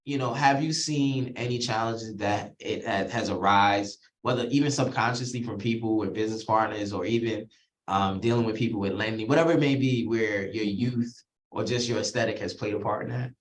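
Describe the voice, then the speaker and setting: A man's voice: fairly low pitch, brisk, poor audio. A man hosting an interview who asks long, casual questions and often adds "right?" and "you know". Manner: relaxed, conversational, frequent "right?" and "you know". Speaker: interview host.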